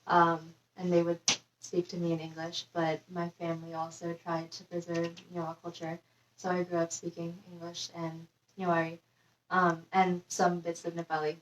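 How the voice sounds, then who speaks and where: A young woman answering interview questions in a relaxed, filler-heavy way. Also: on the low side. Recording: dull, echoey, distant.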